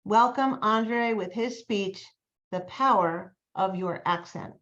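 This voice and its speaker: A woman's voice: medium pitch, slow pace, a bit bright. A woman hosting an online meeting, introducing speakers over a video call with upbeat enthusiasm. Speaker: meeting host. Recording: dull lo-fi call audio.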